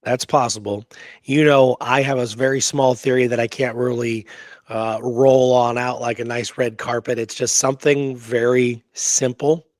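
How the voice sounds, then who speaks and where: A man's voice: mid-range voice, level tone, moderate pace, dry recording, clean studio sound. A man co-hosting a history talk show, reading out quoted passages and adding informal asides of his own. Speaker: podcast co-host.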